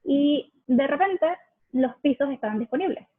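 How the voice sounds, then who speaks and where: A woman from Venezuela with a Venezuelan accent, speaking reflectively as a guest, with many pauses and restarts. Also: high voice, lively intonation, narrow, tinny audio, slight background noise. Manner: reflective, many fillers and self-corrections. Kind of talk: conversational interview.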